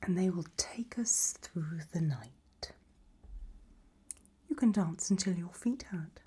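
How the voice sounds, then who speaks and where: An elegant, macabre gothic matriarch, played by a woman, receives a guest in an ASMR roleplay. Her whisper is very breathy and mellow, with little edge. She takes her time, languid and gracious in every phrase. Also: fairly low pitch, takes it slowly, heavily acted. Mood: gracious, fond. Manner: languid, gracious, soft-spoken.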